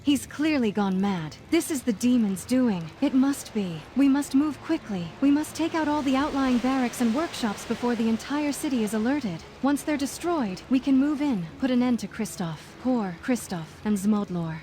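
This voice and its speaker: An actress playing an earnest, resolute mage leader in a video game, measured and authoritative. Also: female voice, a little high, expressive, mellow, close to an everyday voice. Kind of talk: video game character acting. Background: music.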